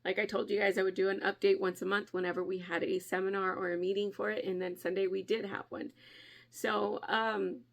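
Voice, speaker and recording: Brief: chatty woman vlogger, nasal with a cold, gravelly edge, mid pitch. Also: level tone, brisk. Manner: chatty, friendly, often laughing. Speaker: crafting channel host. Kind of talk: casual vlog chat.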